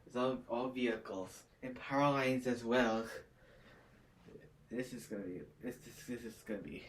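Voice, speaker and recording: A man playing a frightened resident in an amateur disaster drama, speaking haltingly and repeating words. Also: medium pitch, fairly even intonation, close to an everyday voice, low-quality recording. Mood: scared. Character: frightened resident watching toxic smoke surround his house. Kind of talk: amateur disaster scenario acting.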